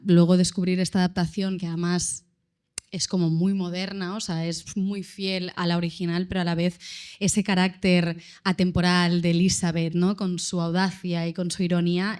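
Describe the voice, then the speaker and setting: An actress answering on a panel in a casual, enthusiastic, colloquial way. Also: female voice, on the low side.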